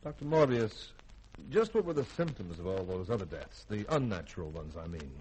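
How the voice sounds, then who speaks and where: An actor playing a firm, dutiful military spaceship commander in a 1950s radio drama, clipped and authoritative. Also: male voice, sits low, very animated, fairly quick, darkish tone. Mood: probing. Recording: noisy vintage radio recording.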